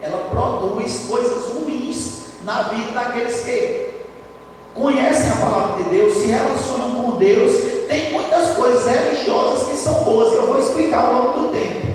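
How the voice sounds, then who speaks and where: A high-voiced man with a hoarse throat preaches to a congregation, loud and chatty, checking in with the room as he goes. He sounds far from the mic, and his voice rings through a big reverberant church hall. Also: moderate pace, slight background noise. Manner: loud, conversational preaching with frequent tag questions. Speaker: lay preacher. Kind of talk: sermon. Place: church sanctuary. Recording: distant, echoey church hall.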